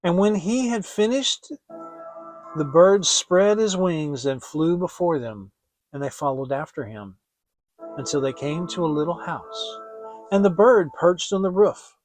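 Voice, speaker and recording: A man giving an expressive, theatrical audiobook reading of a fairy tale as storyteller. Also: a little high, a little slow, no room sound, soft background music, rough recording.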